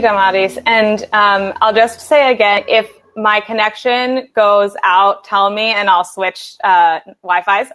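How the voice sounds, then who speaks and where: A woman's voice: medium pitch, fairly bright tone. American woman on a video panel. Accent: American, Philadelphia. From United States. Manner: reflective, many fillers and restarts. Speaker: panelist, venture investor. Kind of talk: casual panel discussion.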